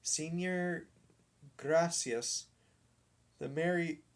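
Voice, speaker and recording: A man's voice: fairly high pitch, fairly even intonation, drawn-out words, pitched above the performer's own voice. A man voicing a small, bossy boy in a children's storybook, urgent and scolding. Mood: urgent warning. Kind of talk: children's storybook read aloud.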